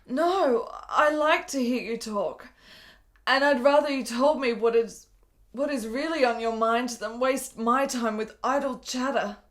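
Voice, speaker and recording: A woman's voice: a little high, a little slow, fairly bright tone. An actress playing a frail, ailing woman in her twenties in a period radio drama, earnest and frank with formal, precise phrasing. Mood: wistful, earnest.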